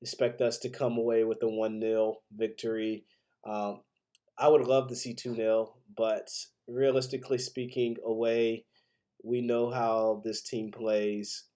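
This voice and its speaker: A man hosts a solo sports podcast in a low, soft-edged and mellow voice. He sounds stuffed up with a cold. His delivery is conversational and opinionated, like casual analysis and predictions. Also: flat delivery, unhurried. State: congested with a cold. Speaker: podcast host. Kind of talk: solo football commentary and predictions.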